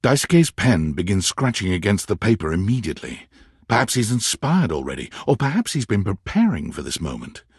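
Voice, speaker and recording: A man playing a wry, dramatic storyteller who narrates a romance video game in measured second person. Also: lowish voice, sing-song, breathy edge, no room sound, studio quality, exaggerated voice.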